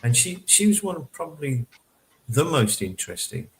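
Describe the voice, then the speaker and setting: A man's voice: fairly high pitch, big pitch swings, moderate pace, low-quality recording. A man co-hosting a chat show, musing aloud and searching for his words. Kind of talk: casual studio chat.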